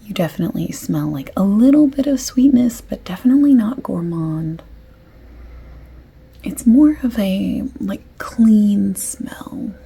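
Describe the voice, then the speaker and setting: Soft, creaky woman in whispery ASMR. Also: medium pitch. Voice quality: soft, breathy, often dropping to a whisper. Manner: unhurried, chatty, overexplaining. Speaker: ASMR creator playing a perfume shop assistant. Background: light clicking and tapping of items.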